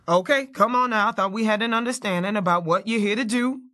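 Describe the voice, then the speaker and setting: A woman's voice: medium pitch, quick pace, pushed and bright, lightly acted. A woman playing a brash, foul-mouthed, tough American character in an audio play, with salty, combative talk. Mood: exasperated. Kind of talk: staged audio play dialogue.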